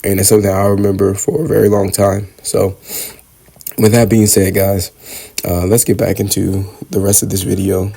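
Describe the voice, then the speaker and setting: A man's voice: little pitch movement, normal speed, mellow. A young man in his twenties talking casually to camera, congested from a cold, reflecting on student life. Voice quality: husky, low gravelly voice. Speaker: vlogger, dental student.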